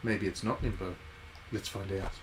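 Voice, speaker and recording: A man's voice: sits low, steady tone, moderate pace, a little hiss or hum. A man giving casual, wry live commentary as he streams a visual novel. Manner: wry, jokey asides. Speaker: game streamer.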